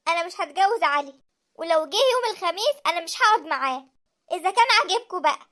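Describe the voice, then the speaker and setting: A woman's voice: very high-pitched, lively intonation, very fast, fairly bright tone. A woman voicing a desperate young Egyptian student who pleads and defies her family. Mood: defiant. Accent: Egyptian Arabic. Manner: pleading, defiant.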